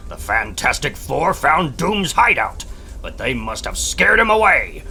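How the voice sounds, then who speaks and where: A man voicing a gruff, scornful newspaper boss in an emphatic comic book reading, with a sharp, forceful delivery. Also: medium pitch, very animated, unhurried, a little hiss or hum, full character voice.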